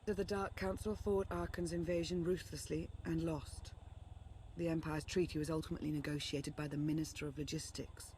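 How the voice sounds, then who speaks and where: Actress doing low voiced sith advisor woman, composed n formal, video game dialogue, bit of background noise. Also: flat delivery, mellow, close to an everyday voice. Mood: grave, composed. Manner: measured, formal, articulate. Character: composed, earnest female Sith strategist and loyal ally. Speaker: advisor and ally. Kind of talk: scripted game dialogue, briefing.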